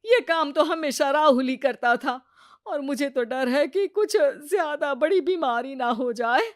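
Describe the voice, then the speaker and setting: A woman acts a weary older mother-in-law in a moral tale. She speaks slowly in a dry, close sound. Her manner is patient and gently pleading. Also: high-pitched, no echo. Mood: worried. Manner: patient, plaintive.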